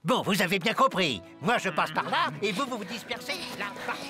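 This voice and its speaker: Pompous, high-swooping cartoon hunter. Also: male voice, animated, brisk, bright, forceful tone, faint music behind, full character voice. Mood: self-important. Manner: pompous, blustering. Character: pompous, blustering grumpy amateur hunter. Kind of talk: French children's cartoon acting.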